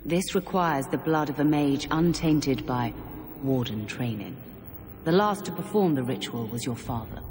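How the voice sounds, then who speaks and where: A woman's voice: rumbling low, lively intonation, unhurried, darkish tone. An actress playing a proud, determined woman commander in a fantasy game, speaking with earnest, insistent authority. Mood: grave, persuasive. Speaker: military commander. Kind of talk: dramatic fantasy game acting. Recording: long reverb, faint ambient noise.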